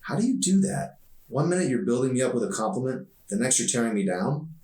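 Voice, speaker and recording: A man's voice: medium pitch, very animated, quick pace, darkish tone, pitched above the performer's own voice, natural voice. A man voicing an awkward, earnest, self-conscious teenage boy in an audiobook reading. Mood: excited, defensive. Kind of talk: audiobook dialogue. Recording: echoey room, distant mic.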